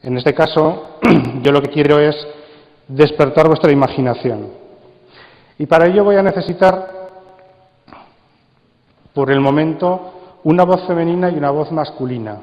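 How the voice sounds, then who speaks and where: A man's voice: a little high, measured pace, pauses often. Man from Spain presenting in an echoey hall. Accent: Spanish from Spain. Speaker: speaker and coordinator at a radio drama congress. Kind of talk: live talk to an audience, interactive presentation. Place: large indoor congress hall. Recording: dull, echoey hall recording with faint noise.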